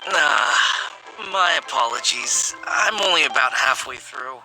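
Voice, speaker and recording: Man as an apologetic, lively-pitched parlor employee. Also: a little slow, natural voice, poor audio. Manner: hesitant, pleading, polite. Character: apologetic, deferential male funeral parlor employee. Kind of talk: video game voice acting. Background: game music.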